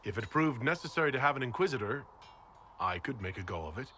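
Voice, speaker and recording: A man playing a composed, earnest fantasy hero in a video game, measured and inquisitive. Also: medium pitch, expressive, pushed and bright, a little hiss or hum. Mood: earnest, resolute.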